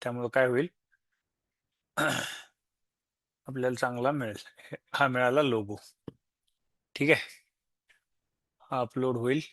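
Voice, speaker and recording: A man with an Indian Marathi accent presents an informal screen tutorial. His voice sits at a medium pitch, and he explains each step, dropping in English tech terms. The recording is close and dry, with hardly any room sound. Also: fairly even intonation, takes it slowly, long pauses, fairly bright tone, no echo. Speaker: software demo presenter.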